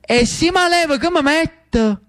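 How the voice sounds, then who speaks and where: A woman's voice: high voice, expressive, measured pace, a bit bright, no room sound, clean studio sound, pitched above the performer's own voice. A woman playing a grumpy, doubting Neapolitan priest in a theatrical story, grumbling in a colloquial manner. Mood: flustered.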